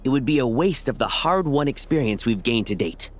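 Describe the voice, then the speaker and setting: A cautious finance scholar in a video game, acted by a man lectures in a mid-range voice with lively intonation. He is formal, polished and diplomatic, with quiet music underneath. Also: medium pitch, a little fast, natural voice. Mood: composed, persuasive.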